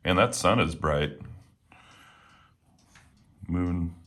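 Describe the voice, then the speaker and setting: Super low-voiced guy, kinda froggy, laid back rambling as he cleans a knife at home. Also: extremely slow. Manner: relaxed, rambling, many fillers. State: slightly hoarse. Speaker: knife reviewer. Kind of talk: casual talk while working. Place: home room with windows.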